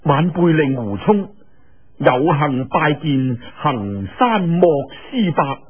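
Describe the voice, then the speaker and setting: A male storyteller plays a young swordsman, humble and lovelorn. He speaks slowly and politely in a husky voice, on a muffled recording of a martial-arts tale. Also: fairly high pitch, big pitch swings, heavily acted. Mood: respectful. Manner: polite, deferential speech. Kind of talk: Cantonese radio storytelling.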